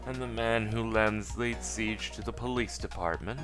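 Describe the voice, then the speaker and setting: A man's voice: on the low side, steady tone, normal speed, over music, natural voice. A man voicing a cool, aloof female villain from a video game. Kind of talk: video game character dub in a let's play.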